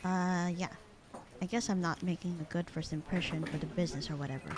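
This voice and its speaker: A woman playing a bored, sarcastic, slightly flirty secretary in a casual roleplay audio drama, with a deadpan, slangy delivery. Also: on the low side, a little fast, background noise, natural voice. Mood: reluctantly helpful. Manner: deadpan, slangy, unbothered drawl.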